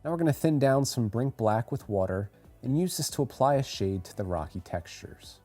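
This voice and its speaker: Mellow-voiced man narrating a tutorial. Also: medium pitch, expressive, normal speed, faint music behind. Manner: clear step-by-step instruction. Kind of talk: scripted how-to voice-over.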